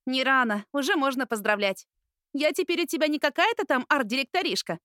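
Woman acting older sister, high voice, caring, motherly, cheeky, playful, audiobook character, dry sound. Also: fairly quick, no echo. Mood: gleeful. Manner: playful, animated.

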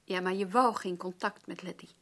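An actress playing a patient care worker in a radio drama, asking hesitant but persistent questions. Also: female voice, fairly low pitch, fairly even intonation, a little fast, fairly bright tone, close to an everyday voice. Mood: probing. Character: patient, probing female care worker visiting a client's relative. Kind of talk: naturalistic radio drama dialogue.

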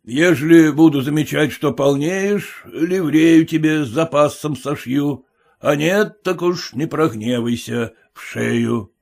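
A man's voice: on the high side, slow, vocal fry, a little mellow, full character voice. A man voicing a stingy, domineering merchant who speaks bluntly and scolds his servants. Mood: gruff bossy. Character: gruff stingy old merchant, bossy.

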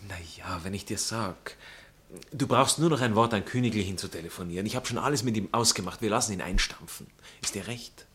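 An actor playing an urbane, ironic aristocratic husband with a Viennese accent and a dry, icily polite manner in a 1960s German radio play. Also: male voice, fairly low pitch, a little fast, a bit bright, close to an everyday voice. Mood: soothing.

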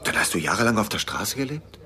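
An actor playing a protective American man who questions gently and informally in a radio drama. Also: lowish voice, very animated, quick pace. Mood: gentle questioning. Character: protective, probing American man named Tom.

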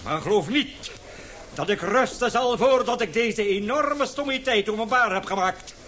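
An impassioned, high-pitched professor. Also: male voice, animated, a bit bright, a little hiss or hum. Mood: indignant, defiant. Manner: vehement, lecturing, halting when unsure. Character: indignant, passionate scientist professor with a weak heart. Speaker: actor in a radio play. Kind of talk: radio drama acting.

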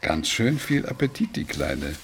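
A man's voice: rumbling low, big pitch swings, measured pace, creaky, a little hiss or hum, full character voice. An actor in his sixties playing a kindly, flustered widowed pensioner who gets defensive, in a naturalistic radio drama. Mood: friendly, slightly flustered. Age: 60s.

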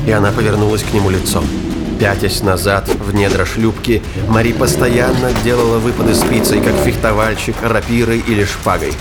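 A man giving dramatic scripted narration of a science fiction thriller as an audiobook narrator. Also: fairly low pitch. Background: prominent music and battle sound effects.

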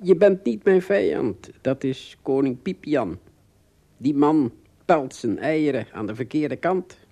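Tiny courteous king: creaky, husky, unhurried, regal radio-play delivery. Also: fairly high pitch, lively intonation, warm tone, exaggerated voice. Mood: friendly, regal. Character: friendly, tiny foreign king of Blefuscu.

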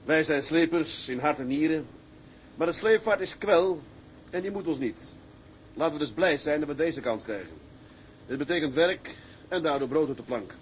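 A man's voice: fairly high pitch, lively intonation, normal speed, slight background noise, lightly acted, poor audio. A man playing a genial, commanding tugboat captain in a radio drama, hearty and comradely. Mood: earnest. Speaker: actor playing a ship's captain. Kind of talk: Dutch radio drama acting.

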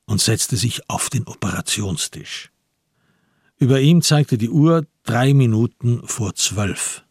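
A man narrating a crime radio play as a grave storyteller, in scripted literary prose with a husky voice. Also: medium pitch, no echo, studio quality, full character voice. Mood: tense.

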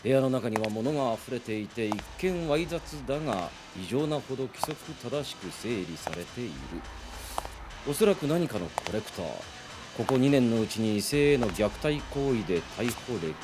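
An actor playing a brash, excitable young police detective in a radio drama. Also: male voice, mid-range voice, normal speed, over music, lightly acted. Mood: businesslike, explaining. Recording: noisy late-1990s radio drama recording.

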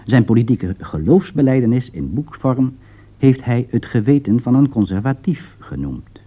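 A man's voice: mid-range voice, big pitch swings, moderate pace, husky. Formal man, very varied intonation, dull recording. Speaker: political commentator. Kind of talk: scripted broadcast commentary read aloud.

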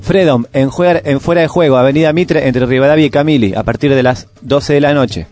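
A man's voice: medium pitch, fairly quick. A man with a Rioplatense Argentine accent presenting a radio show, reading scripted music news and listings, sometimes stumbling over words. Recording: music playing behind the voice. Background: music bed.

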